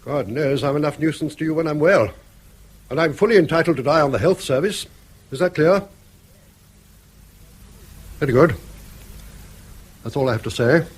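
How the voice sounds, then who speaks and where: A man's voice: fairly high pitch, normal speed, pauses often, breathy edge. An actor playing a cantankerous old English father in a British radio drama, blunt, dry and sardonic. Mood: testy, lecturing. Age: older. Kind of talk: mid-century British radio drama acting.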